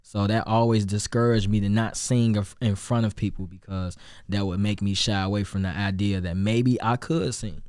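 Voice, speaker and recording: A young man from Chicago with a Chicago accent and a deep voice, chatting loosely as a rapper guest, with many restarts and fillers. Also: steady tone, moderate pace, a little mellow, clean tone, no echo, studio quality. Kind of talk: casual interview chat.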